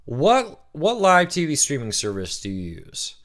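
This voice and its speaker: Man hosting a live Q&A, slightly rough voice. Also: fairly high pitch, wildly swooping pitch, a little slow, a bit bright. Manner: chatty, reads out viewer comments and greets them by name. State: voice a little rough from cheering. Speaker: host of a live Q&A stream. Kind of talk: casual live-stream Q&A.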